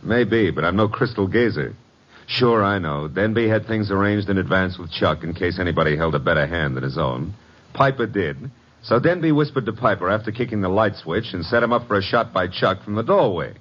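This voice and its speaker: A cocky American gumshoe from old-time radio, with a low, husky voice that swings in lively fashion. His delivery is breezy and flippant. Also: a little fast. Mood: wry. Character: wisecracking, cocky American private detective's legman. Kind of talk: 1940s-50s radio detective acting. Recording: dull old radio recording.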